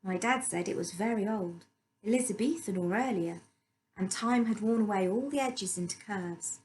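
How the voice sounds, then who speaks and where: A woman's voice: mid-range voice, moderate pace, darkish tone, slight background noise, low-quality recording. A woman reading a story aloud as scripted narration.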